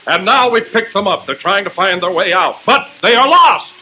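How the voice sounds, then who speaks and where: A man's voice: sits high, expressive, moderate pace, bright, forceful tone, faint music behind, low-quality recording. A man giving dramatic scene-setting narration as an old-time radio comedy announcer.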